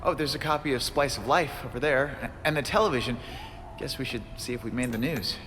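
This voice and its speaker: An actor with an American accent voicing a young, wisecracking, earnest superhero in a video game. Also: male voice, medium pitch, a little fast, fairly bright tone, in a large hall, a little hiss or hum, natural voice. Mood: casual, wry. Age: young adult. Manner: quippy, casual, earnest.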